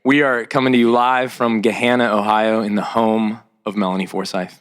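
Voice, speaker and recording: A man co-hosting a podcast from a home, playful and quick with jokes. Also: lowish voice, level tone. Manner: playful, quick jokey interjections. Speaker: podcast co-host. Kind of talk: casual podcast chat. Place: a private home.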